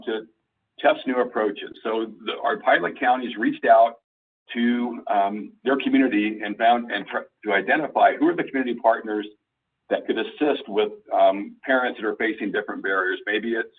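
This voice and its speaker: A man giving an informal slide presentation over a conference call line, explaining things in a halting, deliberate way. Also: fairly low pitch, breathy edge, far from the mic, narrow, tinny audio. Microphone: conference call phone line.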